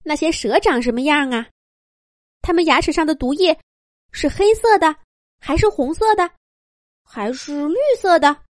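Female narrator as a young male rabbit, high pitch, very animated, curious, pestering, excitable, storybook character. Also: no room sound, studio quality. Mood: eager, curious. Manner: insistent, excitable rapid questioning.

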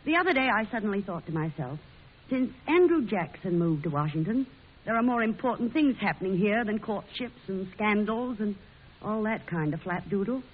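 An actress playing a feisty, blunt newspaper woman in an old-time radio drama, with brisk, folksy, plain-spoken delivery. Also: medium pitch, expressive, husky. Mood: determined. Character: feisty, blunt 19th-century woman printer and newspaper publisher. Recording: muffled, hissy old radio recording.

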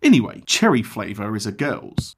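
An actor playing a hapless, insecure policeman who dreams of acting, earnest and self-pitying, in a dark comedy audio drama. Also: lowish voice, big pitch swings, moderate pace, creaky, noisy background.

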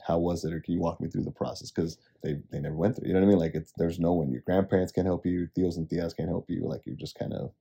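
Chrapliwy, bardzo ciemny męski głos. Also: male voice, deep voice, steady tone, fast, vocal fry, few pauses, dark tone, breathy edge. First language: Spanish. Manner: laid-back storytelling, many "like"s. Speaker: podcast co-host. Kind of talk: casual chat.

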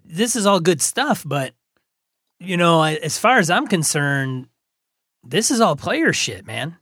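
A man's voice: fairly high pitch, sing-song, unhurried, a bit bright, no room sound, clean studio sound. A North American man co-hosting a podcast, talking casually in a blunt, slangy, informal way. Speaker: podcast co-host. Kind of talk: casual discussion.

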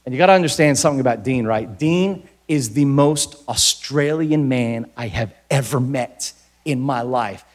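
A middle-aged man with an American accent, raised in the Philippines, preaching a sermon with jokes and sudden loud bursts. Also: medium pitch, normal speed. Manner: animated, jokey, bursts into shouts. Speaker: pastor. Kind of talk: sermon with comic storytelling.

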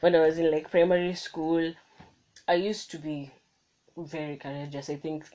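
Low-voiced young woman, chatty phone podcast. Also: fairly even intonation, normal speed, mellow, rough recording. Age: young adult. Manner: chatty, self-correcting, motivational. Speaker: podcast host. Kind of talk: casual solo podcast monologue. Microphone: phone microphone.

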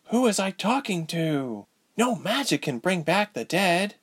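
A man's voice: high-pitched, sing-song, a little slow, fairly bright tone, slightly rough, pitched above the performer's own voice, exaggerated voice. A man voicing a defiant, bitter young woman sorceress in a storybook, with a sarcastic, biting manner. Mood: anguished.

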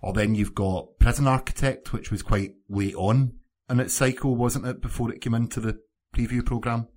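A man's voice: medium pitch, steady tone, moderate pace, darkish tone. A man chatting casually as a panellist on a gaming podcast. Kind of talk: casual podcast chat about video games.

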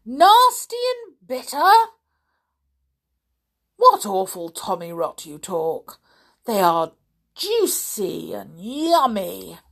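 A greedy, boastful enormous male crocodile from a storybook, voiced by a woman reading aloud. He gloats slowly over his words in a gravelly, unhurried voice that leans louder than the narration around it. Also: medium pitch, huge pitch swings, pitched above the performer's own voice, full character voice. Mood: indignant.

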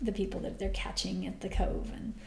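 A woman co-hosting a casual chat, animated and laughing often as she recaps a TV episode. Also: medium pitch, a little fast, vocal fry, in a whisper. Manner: animated, laughs often, acts out quoted lines. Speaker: podcast co-host. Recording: roomy echoey sound.

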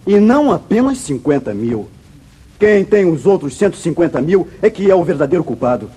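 A raspy, anguished wronged son. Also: male voice, a little high, big pitch swings, a little fast, warm tone. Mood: accusing. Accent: Brazilian. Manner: emotional, dramatic, earnest. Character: anguished, falsely accused young wayward son. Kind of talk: 1950s Brazilian radio serial acting. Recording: muffled vintage radio drama recording.

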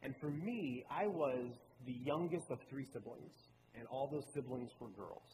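An earnest man in his late twenties tells personal stories in a relaxed, conversational way, like a speaker at a church service. His voice rings out with a long reverb in a large hall. Steady room noise hums clearly behind him. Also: medium pitch, normal speed. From Baltimore, Maryland. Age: late 20s. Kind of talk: personal talk in a sermon.

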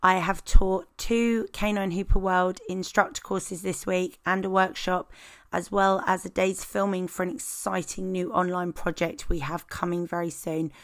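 A woman hosting a dog-training podcast, her voice hoarse and husky, explaining things in calm, measured steps. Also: fairly low pitch. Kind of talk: informative podcast talk.

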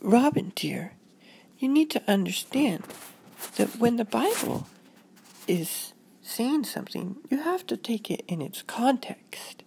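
A woman voicing a patient, gentle mother who coaxes and explains things to her child in a children's story. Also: on the high side, very animated, slow, creaky, a little hiss or hum, pitched above the performer's own voice, heavily acted, low-quality recording. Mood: patient, explaining.

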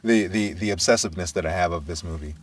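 A man from Brooklyn hosts a casual podcast chat, eager and leading the conversation with questions. His voice sits on the low side and sounds slightly distant from the microphone. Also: quick pace. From Brooklyn, United States. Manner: enthusiastic, leads the talk, asks questions. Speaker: podcast host.

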